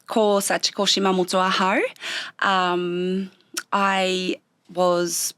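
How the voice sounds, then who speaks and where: A woman with a New Zealand accent, whose first language was Japanese, speaking as a guest on an interview show. She talks in a thoughtful, reflective way and pauses often with ums. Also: on the low side, little pitch movement, a bit bright, smooth, dry recording.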